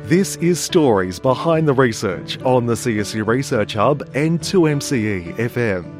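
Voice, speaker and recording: A man reading a scripted podcast intro and content warning in a smooth, polished delivery. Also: mid-range voice, expressive, a little slow, over music. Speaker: podcast announcer.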